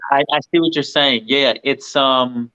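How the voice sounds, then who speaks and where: Male film panelist, medium pitch, slightly dull recording, conversational, drives points home by repetition. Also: fairly even intonation, measured pace, bright, forceful tone. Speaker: panelist on a film review show. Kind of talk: casual film discussion.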